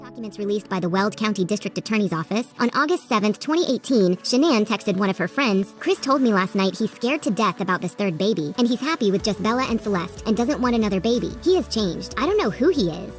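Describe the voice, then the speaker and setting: A woman's voice: medium pitch, lively intonation, fast, hardly any pauses. A woman delivering scripted true-crime narration as a video narrator. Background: faint music.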